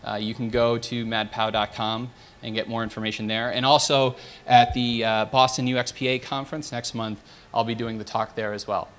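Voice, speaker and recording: A man giving a conference talk and taking questions from the audience, direct and energetic. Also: mid-range voice, normal speed, a little hiss or hum. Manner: direct, energetic, asks the room. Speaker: conference speaker.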